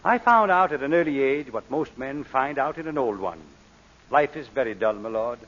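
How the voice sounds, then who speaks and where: A courtly, wry gentleman thief in a vintage radio drama. His speech is polished and theatrical with expressive pitch, heard through a dull recording with long reverb. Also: moderate pace. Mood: wry, philosophical. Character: suave, philosophical gentleman crook, wry and courtly. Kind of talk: 1940s radio acting.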